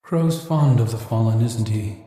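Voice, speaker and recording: A world-weary, thoughtful nobleman, played by a man in a video game, with a fairly low, dark voice. He confides his regrets slowly and ruefully, surrounded by heavy echo. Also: natural voice. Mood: rueful, pensive. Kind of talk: reflective video game monologue. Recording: very echoey voice.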